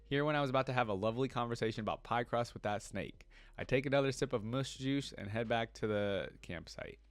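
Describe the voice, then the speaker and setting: A boastful, cranky little frontier warrior read out by a man tells his own storybook tale. He grumbles in folksy, grandiose phrases. The pitch is middling and the sound is close and dry. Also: quick pace, no room sound, natural voice. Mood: indignant. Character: cantankerous, boastful small male frontier warrior creature. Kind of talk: first-person story reading.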